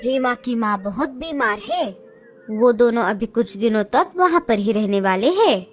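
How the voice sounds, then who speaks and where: A woman's voice: on the high side, lively intonation, moderate pace, voice pushed down. A woman voicing a helpful female bulbul bird who explains the news matter-of-factly in a cartoon.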